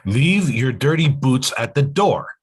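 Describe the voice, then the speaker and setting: Man acting a husky, stern father. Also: medium pitch, sing-song, takes it slowly, exaggerated voice. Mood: stern, curt. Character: stern, curt, demanding father.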